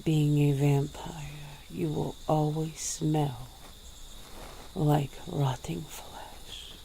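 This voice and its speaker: A woman playing a slow, gravelly vampire woman. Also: fairly even intonation, slight background noise, exaggerated voice, low-quality recording. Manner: slow, soft-spoken, with low chuckles. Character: ancient brooding female vampire, wry and weary. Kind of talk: ASMR roleplay.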